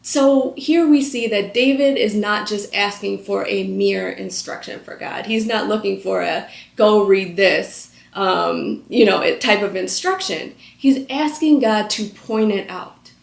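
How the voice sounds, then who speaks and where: A woman's voice: mid-range voice, a little slow, gravelly, few pauses. A woman in her thirties hosting a Bible study, reading a psalm aloud and then explaining it in an earnest, conversational way with frequent filler words. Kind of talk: scripture reading and devotional teaching. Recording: echoey room.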